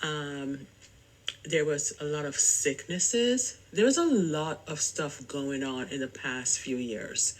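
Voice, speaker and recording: A woman's voice: sits low, slow. A woman hosting a casual solo podcast, chatting in a rambling way and speaking straight to her listeners. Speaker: podcast host.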